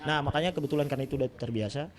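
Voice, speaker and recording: A man from Ambon with a strong Ambonese accent, a guest rapper telling stories in a relaxed, casual podcast chat. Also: medium pitch, rapid-fire.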